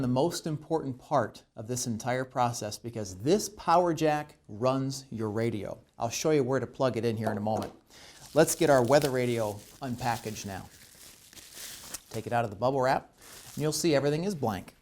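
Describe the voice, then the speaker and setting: A man's voice: medium pitch, a little mellow. An American man presenting an instructional tutorial, explaining each step methodically and stressing the key points.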